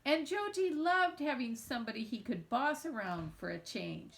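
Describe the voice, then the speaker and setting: A woman reading a children's novel aloud in an expressive, dramatizing storyteller's manner. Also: sits high, normal speed, gravelly. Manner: animated, dramatizing dialogue and feelings. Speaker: children's book reader. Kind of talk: expressive read-aloud of a children's novel in a girl's first person.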